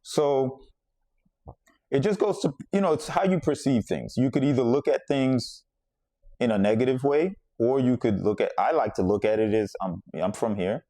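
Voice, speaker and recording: A man's voice: medium pitch, normal speed, mellow, no echo. A man from the Bahamas hosting a podcast, telling a personal story in a reflective, conversational way and circling back to repeat his points. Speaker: podcast host.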